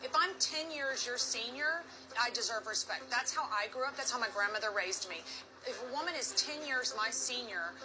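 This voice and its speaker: A woman's voice: a little high, expressive, normal speed, over music, rough recording. A woman in her forties on a reality show, arguing in an emphatic, defensive, blunt way. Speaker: reality TV cast member. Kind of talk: heated reality TV argument.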